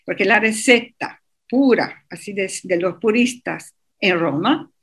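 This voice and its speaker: Slow-talking woman. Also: medium pitch, a bit bright, husky. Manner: hesitant, many fillers and restarts. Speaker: interview guest. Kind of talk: radio interview.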